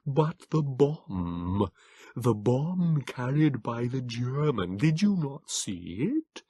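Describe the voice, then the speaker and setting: A small, frail Morlock pleads in slow, gasping syllables, as a male narrator puts him on for an audiobook. His voice sits high and thin, soft and breathy, worn down by wheezing. The recording is close and dry. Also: sing-song, no echo, heavily acted. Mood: breathless pleading. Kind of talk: audiobook character voice.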